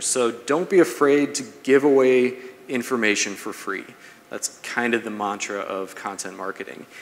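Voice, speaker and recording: A man's voice: mid-range voice, fairly bright tone. A man in his thirties giving a business seminar talk in a conversational, explanatory way, like a presenter walking an audience through advice. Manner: conversational, explanatory, checks in with "right?". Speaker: presenter, marketing speaker. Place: large brick-walled event room, indoors. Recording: echoey hall, long reverb.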